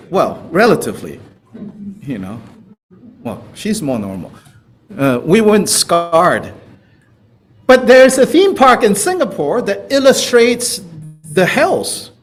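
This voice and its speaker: A man with a Malaysian accent teaches in a playful, conversational way. His voice sits fairly high and moves in lively swings, and he takes his time. He sounds like an informal teacher talking with a class. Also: slow pace, long pauses, faint music behind. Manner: playful, chuckling, conversational, with repeated checks like "you know". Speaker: Buddhist teacher. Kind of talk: informal spoken teaching with questions from students.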